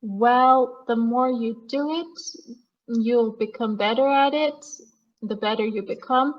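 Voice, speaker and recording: A woman with a Serbian accent talks in a fairly high voice. She chats casually as a language tutor, and the sound is slightly muffled, like a lo-fi internet call. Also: slow. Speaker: online English conversation tutor. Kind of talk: casual conversational chat. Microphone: online video call. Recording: muffled, lo-fi online call audio.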